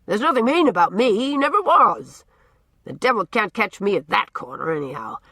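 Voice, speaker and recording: A woman voicing a blustering, gruff old man who booms out orders and repeats himself as he teases. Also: pushed and bright, dry recording, exaggerated voice. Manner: booming, repeats himself, teasing.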